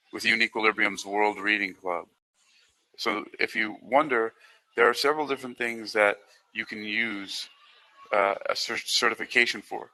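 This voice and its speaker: A man with a bright, forward voice talks as a host explaining a program. His delivery is informal and halting, wandering through repeated phrases as he works out each point. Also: lowish voice, low-quality recording. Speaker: host presenting a literary certification program.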